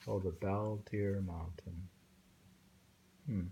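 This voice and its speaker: Slow, gravelly man reading poetry. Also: low-pitched, fairly even intonation, background noise. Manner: slow, musing, with thoughtful hums. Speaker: reader of translated poetry. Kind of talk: reading poems aloud with casual commentary.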